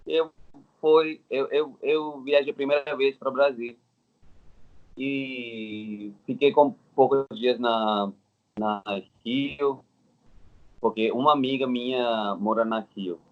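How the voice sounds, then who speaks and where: A man's voice: medium pitch, fairly even intonation. Dull-sounding recording, Indian-accented man. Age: 30s. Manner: halting, non-native Portuguese with simple phrasing. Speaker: interview guest. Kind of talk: casual personal storytelling. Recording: dull, lo-fi audio.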